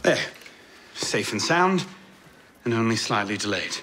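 An actor playing a quick-witted, flippant young French rogue in a video game. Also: male voice, fairly low pitch, big pitch swings, fairly bright tone, a little hiss or hum. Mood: wry, jaunty. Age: young adult. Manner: flippant, sardonic.

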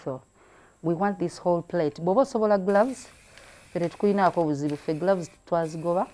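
Low-voiced woman demonstrating crafts. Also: brisk, warm tone. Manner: mixes languages, chatty and encouraging. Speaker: craft show host. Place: indoor room at a craft table.

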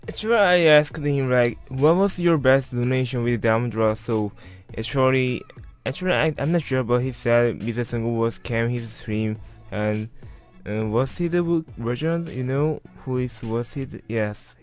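Young korean guy doing casual game video commentary, halting english with repeats, faint music under him. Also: medium pitch, clean tone. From South Korea. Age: about 20. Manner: halting, repetitive non-native English. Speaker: streamer narrating his video.

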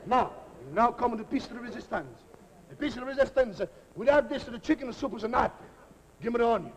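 An excitable Italian immigrant cook. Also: male voice, extremely high pitch, brisk. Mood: jovial. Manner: rambling, excitable broken English. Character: jolly old-country Italian immigrant cook, man. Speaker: comic cook. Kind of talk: live comedy sketch. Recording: live audience laughter, noisy old recording.

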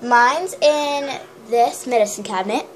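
Young woman, rambling home tour, unhurried talk, fairly high voice, handheld phone, hissy background noise. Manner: rambling, giggly, chatty. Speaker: vlogger giving a home tour. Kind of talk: casual home tour narration. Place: small house, kitchen and bathroom. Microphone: handheld phone camera. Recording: noisy home phone video.

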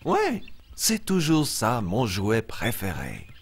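A man playing a friendly young lion who tells stories to small children in an expressive, simple way on a kids' show. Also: medium pitch, slow pace, slight background noise, full character voice. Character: friendly young lion storyteller, warm and eager. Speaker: storyteller. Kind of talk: children's show dialogue and storytelling. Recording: music bed under the voice.

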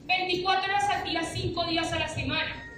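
A woman's voice: extremely high pitch, fairly even intonation, brisk, bright, forceful tone. A young woman giving a punchy, rhetorical finance seminar to a room, often asking "¿listo?". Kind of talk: motivational seminar talk. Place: large lecture room with a projector screen, recorded by an audience phone. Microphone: audience phone camera, from afar. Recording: echoey room from far off, faint hiss.

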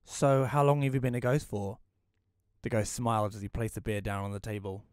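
A man's voice: on the low side, steady tone, brisk, darkish tone, clean tone, no echo, close to an everyday voice. A man playing a reclusive, wry widower, narrating an audio play in the first person in a dry, reflective way. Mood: curious.

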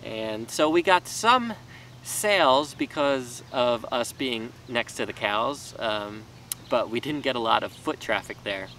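A man's voice: mid-range voice, expressive, unhurried, sharp tone. A man in his thirties, a small farmer, talking casually and rambling a bit with fillers and restarts, filmed outdoors in a vegetable garden. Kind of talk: casual talking-head vlog. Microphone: camera mic from a few feet away. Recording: distant mic with faint outdoor noise.

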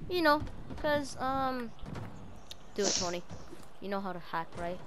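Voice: medium pitch, expressive, measured pace, background noise. A female player improvising a roleplay over game voice chat, confrontational and commanding in manner.

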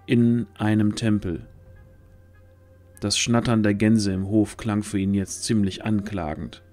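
A man's voice: fairly low pitch, steady tone, measured pace, darkish tone. A man reading scripted narration for a fantasy audio drama. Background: faint music.

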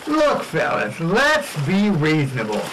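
A man's voice: on the high side, wildly swooping pitch, measured pace, fairly bright tone, slight background noise, exaggerated voice, rough recording. A man playing a blustery, dismissive small-town mayor in a radio drama spoof. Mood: insistent. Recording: music behind the voice.

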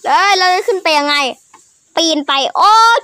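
A child improvising character voices for a cartoon skit, excitable and full of repeated exclamations. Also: extremely high pitch, normal speed, no room sound, clean studio sound. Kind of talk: improvised voicing of characters in a game-animation skit.

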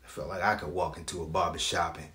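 A man's voice: low-pitched, level tone, fast talker, bright, forceful tone. A man acting a dramatic stage monologue as a proud, wounded grown son speaking to his father. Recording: echoey room.

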